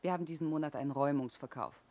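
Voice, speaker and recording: An actress playing a discreet, dutiful office employee who answers politely and a little guardedly in a 1970s radio crime play. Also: female voice, sits low, very fast, mellow, natural voice. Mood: polite, earnest.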